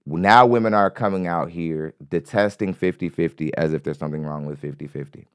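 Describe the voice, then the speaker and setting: Male podcast host, light-voiced, rambling and profane, smooth tone, close dry mic. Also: deep voice, fairly quick, no room sound. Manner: rambling, repeats himself, curses freely. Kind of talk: casual commentary on a clip. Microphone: studio mic.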